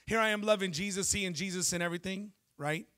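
Bright-voiced animated preacher man. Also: sits high, fast. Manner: animated storytelling preacher, jokes and asks the crowd questions. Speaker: pastor. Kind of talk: sermon. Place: church stage before a congregation. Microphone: handheld mic.

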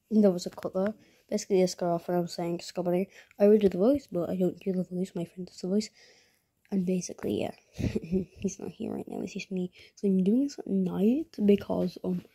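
A woman's voice: on the low side, mellow, slightly rough. A young woman, chatting casually in her bedroom at night while showing plush toys to a handheld camera, rambling with many fillers and dropping into whispers. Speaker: vlogger.

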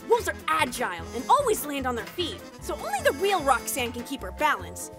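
An actor voicing a mischievous young boy in an animated comedy, with a blunt, cheeky, excitable way of talking. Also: high-pitched, fairly quick, over music.

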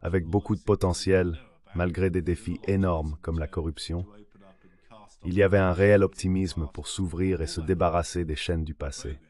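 A synthetic male voice with a low pitch reads a translated interview. It serves as the dubbed voice of a guest, delivering the answers as scripted voice-over. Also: steady tone, moderate pace, darkish tone.

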